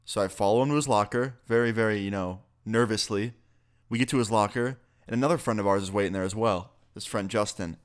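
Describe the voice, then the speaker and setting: A man's voice: fairly low pitch, fairly quick. A young man, a bit sick, telling a casual, profane story on his vlog. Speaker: YouTuber.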